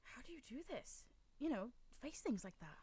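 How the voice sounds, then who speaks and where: A woman's voice: a little high, big pitch swings, moderate pace, dark tone, slight background noise. An actress playing a curious, hesitant young woman in a fantasy drama. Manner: hesitant, inquisitive.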